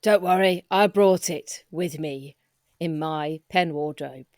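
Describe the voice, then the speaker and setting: Lowish-voiced woman in a dry recording. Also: slow pace, a little mellow, no room sound. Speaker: performer in an improvised comedy audio drama. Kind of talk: improvised in-character comic dialogue.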